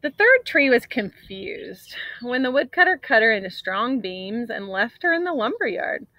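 A woman in her thirties reads a children's picture book aloud. Her voice sits a little high and she tells the story with expressive drama. The sound is dry, recorded outdoors. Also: normal speed, dry recording, low-quality recording. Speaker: storybook reader. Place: outdoors in an open grassy area.

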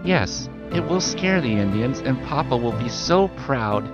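A man's voice: mid-range voice, expressive, voice pushed up, lightly acted. A man voicing an anxious, firm but loving pioneer mother giving careful instructions in a storybook. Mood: tender, reassuring. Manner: urgent, emphatic, deliberate instructions. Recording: muffled recording with music behind.

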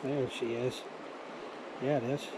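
A man's voice: medium pitch, level tone. A middle-aged man wading in a river while fly fishing, talking casually and swearing under his breath, picked up by a friend's action camera. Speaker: angler. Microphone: action camera worn by his friend a few feet away. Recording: loud river noise, outdoor action cam. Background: loud rushing river water.

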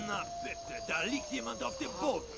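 An actor playing a fussy, pompous fort general in a children's audio drama, formal and commanding. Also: male voice, very high-pitched, big pitch swings, normal speed, gravelly, very noisy, full character voice. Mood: puzzled, fussy.

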